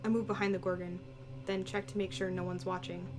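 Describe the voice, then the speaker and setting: A woman's voice: fairly low pitch, level tone, a little fast, music in the background. A woman reading visual novel text aloud as dramatic first-person narration.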